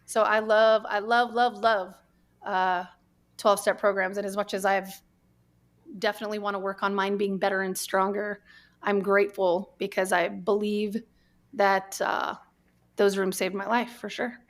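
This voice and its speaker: An American woman from New Mexico telling her personal story candidly in conversation, earnest and halting, repeating words for emphasis. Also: mid-range voice, level tone. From New Mexico, United States.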